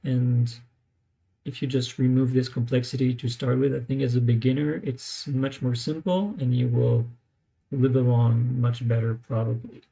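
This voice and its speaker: A man talking casually during a live screen-share, thinking aloud with many pauses and fillers as he tries out words. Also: medium pitch, flat delivery, creaky, mellow, slightly rough, rough recording. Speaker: language learner streaming live.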